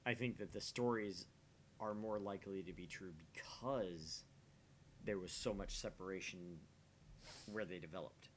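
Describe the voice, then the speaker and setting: A man with a somewhat low voice chats as co-host of a game stream. He lays out his thinking in a casual, explanatory way, restarting and repeating words as he goes. The recording carries a faint low rumble. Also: normal speed. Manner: explains at length with repetition and restarts. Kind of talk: casual chat, opinionated musing.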